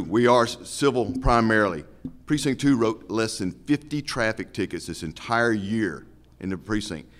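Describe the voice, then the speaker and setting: A man speaking as a law officer and candidate at a public forum, making his case in an emphatic, plain-spoken way. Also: fairly low pitch.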